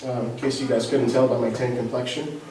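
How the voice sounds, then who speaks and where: A man's voice: mid-range voice, fairly even intonation, a little fast, a little mellow, slight background noise. A man from Egypt performing a stand-up comedy set, conversational and self-deprecating, often asking the crowd questions. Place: comedy club stage. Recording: echoey club from far off with crowd laughter.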